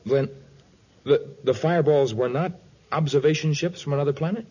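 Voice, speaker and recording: An actor playing a brave, earnest jungle hero in a 1950s radio adventure serial, plain-spoken and steady. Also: male voice, on the high side, normal speed, slightly rough. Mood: urgent, questioning. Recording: muffled 1950s radio recording.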